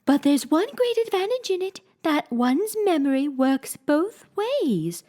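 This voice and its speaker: A woman putting on a shrill, flustered queen. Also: big pitch swings, mellow. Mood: airily knowing. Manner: fussy, shrieking, quick to wail.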